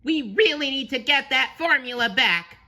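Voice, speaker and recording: A man voicing an eager, cheerful young cartoon sponge, speaking in an excitable, earnest and encouraging way. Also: very high voice, moderate pace, pitched above the performer's own voice, heavily acted. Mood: distressed, urgent.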